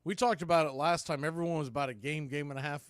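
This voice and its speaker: Male baseball announcer, slightly high-pitched, live play-by-play in short clipped phrases, dry sound. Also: steady tone, quick pace, no room sound. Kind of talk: live sports commentary with score updates.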